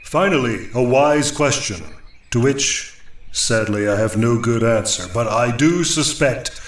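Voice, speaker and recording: An actor playing a pompous astral being with formal, archaic, florid speech in a comic audio drama. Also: male voice, medium pitch, a little slow, close to an everyday voice. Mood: grave, weary. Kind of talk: audio drama comedy acting. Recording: roomy echo.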